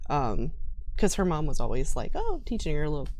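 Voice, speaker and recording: A woman's voice: sits low, huge pitch swings, fairly quick, darkish tone, no echo. A woman chatting casually as a guest player, giggly and informal as she explains things. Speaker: tabletop game player, guest. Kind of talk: casual chat describing a game character.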